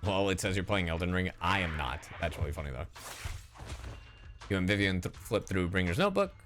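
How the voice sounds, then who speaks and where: A man's voice: deep voice, animated, a little fast, a bit bright. A man livestreaming a game with casual, playful commentary, slipping into sing-song sounds and mimicry. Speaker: livestreaming gamer. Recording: faint game music behind.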